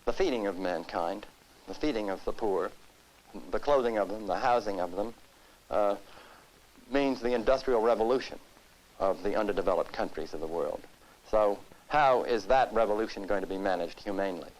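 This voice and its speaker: A man from the United States giving serious interview commentary, speaking deliberately in formal, rhetorical phrases. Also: lowish voice, a little mellow, low-quality recording. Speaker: expert interviewee.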